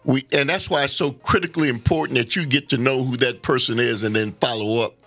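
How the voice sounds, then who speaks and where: A man speaking earnestly as a guest in a casual conversation, halting and repeating words as he builds his point. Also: mid-range voice, steady tone, fairly bright tone, faint music behind.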